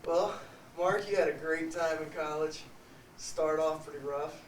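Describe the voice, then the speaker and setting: A man's voice: on the high side, a little slow, distant mic, a little hiss or hum. Young man, earnest and hesitant. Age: young adult. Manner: sincere, hesitant, repeats words. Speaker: college football player. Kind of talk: reflective interview answers. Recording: echoey room, off-mic.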